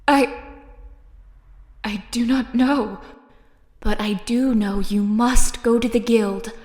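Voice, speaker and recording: A woman's voice: fairly high pitch, steady tone, slow, a little mellow. A woman voicing a formal, loyal companion who speaks in old-fashioned phrases in a comic dub. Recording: roomy reverberant echo.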